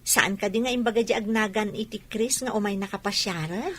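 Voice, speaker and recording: A woman's voice: mid-range voice, brisk, close to an everyday voice. A woman playing a sensible, cautioning young village woman in a comedy radio drama.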